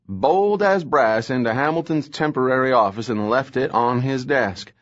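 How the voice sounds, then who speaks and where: A man's voice: medium pitch, pitched above the performer's own voice, close to an everyday voice. A man doing a grumbling, sleepy male police lieutenant. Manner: slurred, grumbling, exasperated. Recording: slightly dull lo-fi audio.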